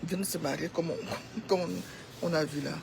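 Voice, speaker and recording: A woman's voice: low-pitched, steady tone, brisk, creaky, background noise. A woman with a Cameroonian accent giving an informal, opinionated monologue to followers, exhorting them and repeating phrases for emphasis. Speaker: social media commentator.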